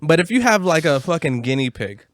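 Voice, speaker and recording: A man's voice: a little high, very animated, brisk, dry recording, clean studio sound. A man in casual podcast banter, swearing and using slang as he jokingly acts out little scenarios. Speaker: podcast co-host.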